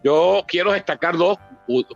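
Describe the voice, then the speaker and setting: A man's voice: high voice, a little slow, vocal fry. A Venezuelan man in his sixties presenting his book in a public talk, discursive, with frequent asides and repeated words. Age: 60s. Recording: dull lo-fi audio, music behind.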